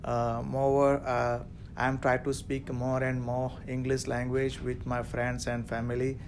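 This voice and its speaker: A man studying English, talking on a student podcast in hesitant, non-native English with many pauses. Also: completely flat delivery. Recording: noisy amateur recording with rumble.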